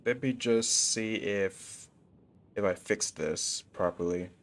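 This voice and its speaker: A man's voice: on the low side, slow pace. A young man talking casually to his viewers while livestreaming a video game. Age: young adult.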